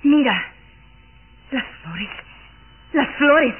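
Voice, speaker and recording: A woman's voice: high-pitched, animated, extremely slow, a little hiss or hum, exaggerated voice. An apprehensive young noblewoman, muffled recording. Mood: alarmed. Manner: earnest, apprehensive. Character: uneasy young noblewoman, duke's devoted daughter. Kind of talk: old radio drama acting. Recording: dull lo-fi old radio recording.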